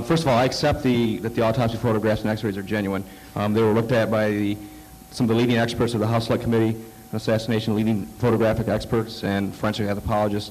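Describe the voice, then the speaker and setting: Man, conference presenter with a cold, halting delivery full of fillers, lower voice. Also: a little mellow, rough recording. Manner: halting, many fillers and restarts. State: coming down with a cold. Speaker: conference speaker. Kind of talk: slide presentation talk. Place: conference hall.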